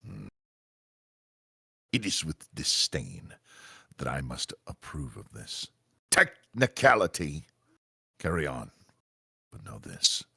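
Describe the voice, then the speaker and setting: A man playing a stern, disdainful executive agent in a comedy table read, speaking pompously and deliberately. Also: a bass voice, wildly swooping pitch, creaky, sharp tone, husky, no room sound, studio quality, full character voice.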